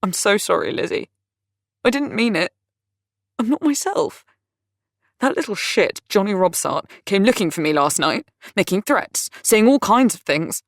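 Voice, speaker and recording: A woman voicing a volatile, self-pitying man who rants and complains loudly. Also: medium pitch, expressive, moderate pace, few pauses, dry recording, studio quality, pitched above the performer's own voice, lightly acted. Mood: pleading, self-pitying. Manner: ranting, raised and strained.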